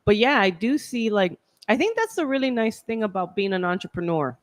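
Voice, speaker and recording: A woman's voice: mid-range voice, sing-song, brisk, dry recording. A woman hosting a casual live chat, talking in a chatty way with lots of "like" and "right?". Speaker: host. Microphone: handheld mic, filming on phone.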